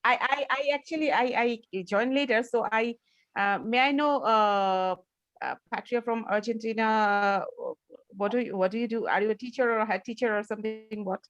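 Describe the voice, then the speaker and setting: A woman who teaches and trains teachers joins an online round table. She talks haltingly and repeats words as she searches for them. Her audio is dry, with no room sound. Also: a little high, dry recording, dull audio.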